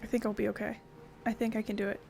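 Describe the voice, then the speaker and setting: A woman's voice: mid-range voice, little pitch movement, fast, gravelly, a little mellow, a little hiss or hum. A woman doing casual let's play commentary, playful and often muttering to herself as she plays. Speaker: gaming streamer.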